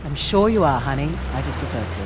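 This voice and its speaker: An actress playing a cocky, playful treasure hunter in a video game, with a wry, teasing way of talking. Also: female voice, extremely low pitch, sing-song, quick pace. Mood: breezy, teasing. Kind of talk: video game cutscene acting. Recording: music and vehicle noise behind.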